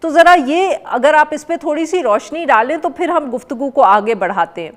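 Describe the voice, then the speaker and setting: A woman with a Pakistani Urdu accent hosting a news talk show, introducing the topic and guests in a measured, formal way with frequent "uh" fillers. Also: high-pitched, expressive.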